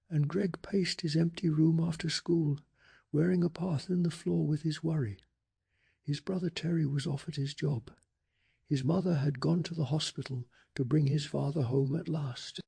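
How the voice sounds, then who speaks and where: Breathy, soft-spoken male storyteller. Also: fairly high pitch. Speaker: ASMR storyteller. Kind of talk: soft-spoken ASMR bedtime story narration.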